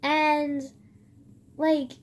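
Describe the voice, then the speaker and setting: A young woman vlogger with a soft voice, talking in a rambling, hesitant way full of filler words. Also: high-pitched, flat delivery, drawn-out words. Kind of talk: personal reflective vlog monologue.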